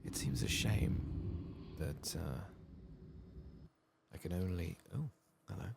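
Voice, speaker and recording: Very deep, gravelly, soft-spoken man. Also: animated, slow, noisy background. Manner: soft-spoken, murmured asides. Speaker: ASMR gaming streamer. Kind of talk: soft-spoken ASMR game commentary.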